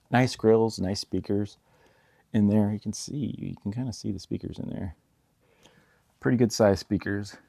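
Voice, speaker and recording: A man's voice: on the low side, creaky, dark tone, no echo. A man giving a casual, hands-on product review in an informal way, with trailing asides.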